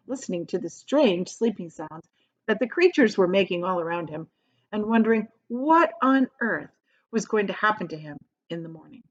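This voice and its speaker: A woman reading a children's story aloud like a teacher, expressively, pausing to explain things in simple asides. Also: mid-range voice. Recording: dull, lo-fi sound.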